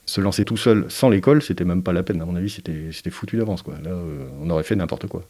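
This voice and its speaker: A man giving an interview as a parent, speaking in a hesitant, thoughtful way with frequent pauses and fillers. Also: low voice, warm tone. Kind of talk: reflective interview testimony.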